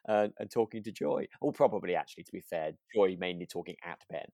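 British male co-host, deep with vocal fry, relaxed conversational podcast chat. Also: fast, no room sound. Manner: informal, conversational. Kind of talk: casual chat discussing a radio drama.

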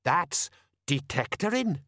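Storybook police sergeant, read by a man: self-important, slow-witted old hand, colloquial lecturer, raised pitch, dry close recording. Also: very animated, pushed and bright, no room sound, exaggerated voice. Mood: pleased, pompous.

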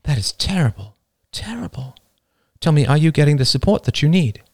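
A man voicing a gentle, earnest and inquisitive young woman with a soft, concerned manner. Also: fairly high pitch, big pitch swings, brisk, no room sound, studio quality, voice pushed up. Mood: sympathetic, earnest.

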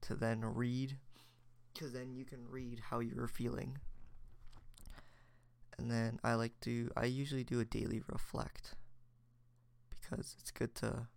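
A young man talks alone on his podcast in a loose, reflective ramble, circling back with fillers and restarts. His voice has a gravelly edge. The sound is dry and close, with no room around it. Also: mid-range voice, flat delivery, a little slow, long pauses, no echo. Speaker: podcast host. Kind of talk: solo casual chat.

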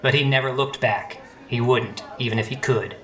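A man playing an earnest, wry, bumbling police detective in a comic noir radio play, with a deadpan, hard-boiled delivery. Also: mid-range voice, normal speed, faint music behind, natural voice. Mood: wry, brooding.

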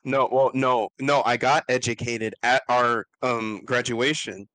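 A man's voice: mid-range voice, steady tone, unhurried, pushed and bright, dry recording, poor audio. A man in casual podcast chat, ranting with emphasis. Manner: emphatic, rants with feeling. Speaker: podcast co-host.

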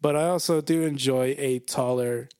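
A man's voice: medium pitch, steady tone, normal speed, a little mellow, clear, resonant tone, no room sound. A man in his twenties co-hosting a podcast with casual, crude banter, rambling and hedging, swearing freely and close on the mic. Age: 20s. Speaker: podcast co-host.

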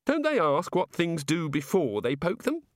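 A man's voice: fairly high pitch, huge pitch swings, normal speed, dry recording, clean studio sound, voice pushed up. A man reading a story aloud and voicing a curious, oblivious male creator god who asks question after question. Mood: eager curious. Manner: chatty, eager, inquisitive.

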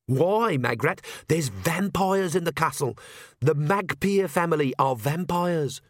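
A man's voice: a little high, normal speed, no room sound, studio quality, pitched above the performer's own voice, lightly acted. A man voicing a sensible, worried young woman who is blunt and sceptical, in a storybook reading. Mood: urgent. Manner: urgent, blunt, questioning.

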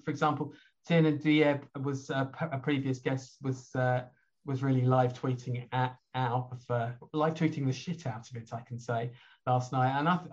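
A hoarse man, hosting an online chat show in a rambling, chatty way with lots of fillers. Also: mid-range voice, highs cut off. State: hoarse from a cold. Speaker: host.

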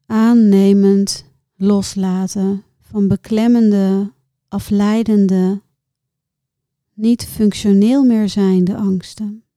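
A woman reciting her own poems in a measured, contemplative way, with deliberate pauses between short phrases. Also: mid-range voice, little pitch movement, warm tone, no echo, studio quality. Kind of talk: poetry recitation.

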